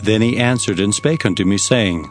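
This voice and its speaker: A man playing an earnest prophet who recounts his visions in formal, expressive storytelling for dramatized scripture. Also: on the low side, moderate pace, lightly acted. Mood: awed, questioning. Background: faint music.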